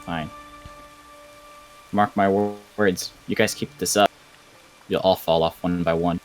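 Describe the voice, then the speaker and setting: A man's voice: low-pitched, fairly even intonation, a little slow, soft background music, poor audio. A man playing a menacing, scornful rival mage who taunts and dismisses others in a fantasy game roleplay. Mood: threatening. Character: menacing, scornful male rival guild mage, sneering bully. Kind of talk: improvised Minecraft fantasy roleplay.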